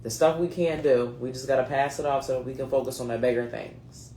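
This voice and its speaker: A woman's voice: very deep voice, steady tone, fairly quick, dark tone, echoey room, slight background noise. A woman in her late thirties with a mixed US East Coast accent, giving a chatty, slangy card reading straight to her viewers. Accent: mixed US East Coast (New England, Philadelphia, North Carolina, Delaware). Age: late 30s. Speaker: card reader.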